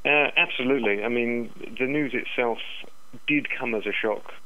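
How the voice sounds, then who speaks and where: A man giving market commentary as an analyst in a phone interview, halting and repeating words, over a dull telephone line. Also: medium pitch, sharp tone. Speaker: currency analyst, interview guest.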